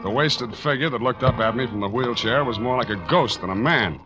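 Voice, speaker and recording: An actor playing a sardonic, hardboiled private detective, wisecracking and narrating in 1940s radio style. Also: male voice, mid-range voice, brisk, close to an everyday voice. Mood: wry, atmospheric narration. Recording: dull 1940s radio recording, music behind.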